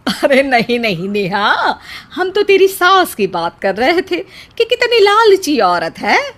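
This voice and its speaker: High, drawn-out gossiping neighbour woman played by a woman. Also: very animated, fairly bright tone, full character voice. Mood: backtracking.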